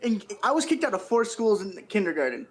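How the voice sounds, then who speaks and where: A man's voice: high voice, big pitch swings, fairly quick, breathy edge. A man from Canada chatting casually on a podcast, telling sweary anecdotes and often laughing as he goes. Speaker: podcast speaker.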